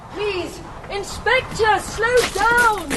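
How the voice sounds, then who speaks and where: An actress playing an anxious, pleading woman in a wartime audio ghost story, with a hushed, urgent manner. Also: very high voice, extremely slow, full character voice. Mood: pleading, concerned. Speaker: actor in an audio drama. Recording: clear background ambience noise.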